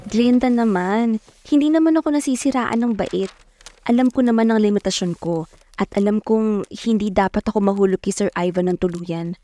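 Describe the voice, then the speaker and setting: A woman's voice: mid-range voice, normal speed, dark tone, no room sound, lightly acted. An actress playing a poor, determined, lovestruck young provincial woman who narrates her own story earnestly and introspectively. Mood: defensive, agitated. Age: young adult. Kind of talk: scripted first-person dramatic narration. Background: music.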